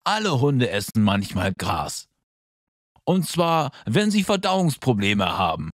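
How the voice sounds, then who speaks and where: A man's voice: medium pitch, sing-song, takes it slowly, no echo, studio quality. A man voicing a kindly older vet in a children's cartoon, reassuring and explaining things simply.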